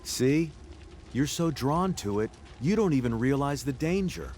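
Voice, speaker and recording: A man's voice: mid-range voice, animated, a little mellow, a little hiss or hum, natural voice. An actor playing a solemn middle-aged father who speaks cryptically in a dream, in a video game drama. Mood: entranced. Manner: measured, cryptic, philosophical.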